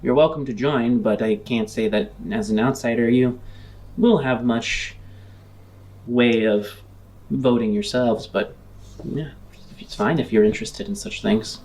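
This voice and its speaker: A man playing a reserved, guarded shopkeeper, talking in a halting, measured way that trails off. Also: medium pitch, darkish tone, lightly acted.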